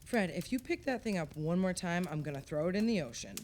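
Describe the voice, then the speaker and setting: An actress playing a frank, expressive friend in a group conversation in a naturalistic audio play. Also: female voice, fairly low pitch, brisk, faint music behind, close to an everyday voice. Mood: mock threatening.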